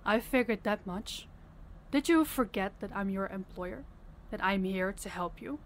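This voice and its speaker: Stern female crime boss, played by a woman, mid-pitched, blunt and commanding, audio drama. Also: measured pace, a little hiss or hum, close to an everyday voice. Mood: stern, probing. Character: stern, fed-up female crime boss scolding her subordinate. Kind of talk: audio roleplay acting.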